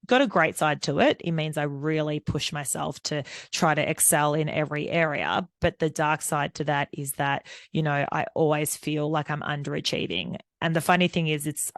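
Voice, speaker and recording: A woman's voice: deep voice, dry recording, clean studio sound. Candid woman podcast host. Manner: candid and rambling, with many fillers and restarts. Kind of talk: unscripted solo podcast monologue.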